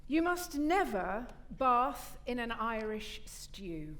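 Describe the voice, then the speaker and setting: A woman reciting a comic nonsense poem in a deliberate, mock-solemn way on stage. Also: a little high, very animated, a bit bright. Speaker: reader of comic verse. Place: large theatre stage. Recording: noisy theatre stage with audience.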